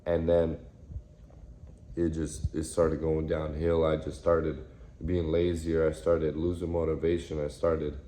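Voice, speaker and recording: A man in his early twenties, from the United States, talking in a reflective, halting way with many repeated phrases in a casual vlog. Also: a bass voice, level tone, dark tone. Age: 20s. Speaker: vlogger. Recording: echoey room with faint noise.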